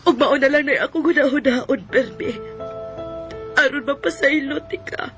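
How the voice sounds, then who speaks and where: Radio drama role: emotional, pleading middle-aged Cebuano wife and mother, high voice, music underneath. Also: normal speed, a bit bright. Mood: tearful, forgiving. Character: long-suffering, forgiving abandoned wife and mother. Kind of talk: Bisaya radio drama acting.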